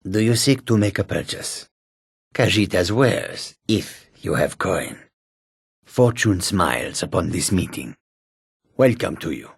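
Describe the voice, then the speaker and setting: Fantasy game cat-man trader: very rough, breathy rasp, slow delivery, wary but courteous. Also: sits low, sing-song, no echo, clean studio sound, heavily acted. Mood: courteous, welcoming. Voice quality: raspy, gravelly. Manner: deliberate, formal, speaks of self in third person. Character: wary, courteous male cat-folk desert trader and guard. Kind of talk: video game character voice lines.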